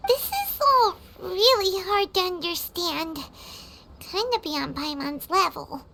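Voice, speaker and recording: A woman voicing a small, childlike, curious fairy companion in a video game, with a squeaky, expressive voice. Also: slow pace, gravelly, slight background noise, full character voice. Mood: puzzled. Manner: talks of self in third person, simple and expressive. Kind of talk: cartoonish video game voice acting.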